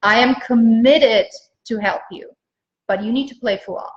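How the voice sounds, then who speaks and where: A woman from Austria, a native German speaker, speaks as a business coach giving an emphatic, repetitive motivational pitch on a livestream. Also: unhurried. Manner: emphatic, repeats phrases for stress. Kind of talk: motivational live sales pitch to viewers. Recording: dull lo-fi livestream audio.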